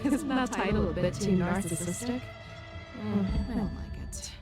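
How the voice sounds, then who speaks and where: A woman's voice: medium pitch, close to an everyday voice. An actress voicing a famed, self-assured female general in an anime-style video game, breezy and wry with a teasing manner. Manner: playful, unhurried confidence, wry. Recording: loud game music behind.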